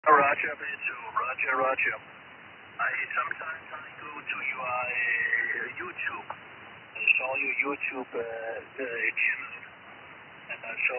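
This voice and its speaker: Halting man over a hissy radio link. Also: mid-range voice. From Scandinavia. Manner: halting, repeats his phrases. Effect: radio transmission. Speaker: amateur radio operator. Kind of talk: ham radio contact chat. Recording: narrow, hissy, noisy shortwave radio link.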